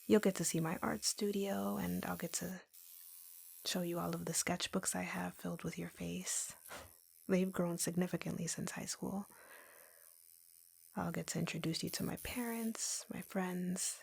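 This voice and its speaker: A woman playing an obsessively lovesick young tattoo artist in an intimate roleplay audio, whispering gently with sweet, possessive menace. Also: fairly low pitch, fairly even intonation, unhurried, heavily acted. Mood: dreamy, lovestruck. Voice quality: breathy, whispery, creaky.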